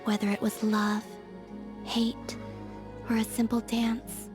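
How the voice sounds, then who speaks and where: An actress playing a gentle, enigmatic young woman in a video game, speaking softly and dreamily, with breathy whispered touches and quiet melancholy. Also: fairly high pitch, completely flat delivery, over music. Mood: wistful, solemn. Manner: soft, unhurried, drifting into breathy whispers. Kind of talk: scripted video game character voice lines.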